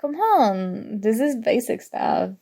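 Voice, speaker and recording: A young woman playing a sarcastic, competitive college student in an ASMR roleplay, teasing and exasperated, with a creaky voice. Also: fairly high pitch, big pitch swings, takes it slowly, warm tone, exaggerated voice. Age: young adult. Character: snarky competitive college student, grudgingly fond academic rival. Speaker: audio roleplay voice actor.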